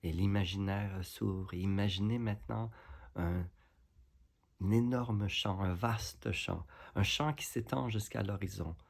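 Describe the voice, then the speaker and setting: Really low husky guy doing a yoga nidra, soothing, takes his time between phrases. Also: a little mellow. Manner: soothing, unhurried instructions with pauses. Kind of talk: guided relaxation meditation, speaking to listeners.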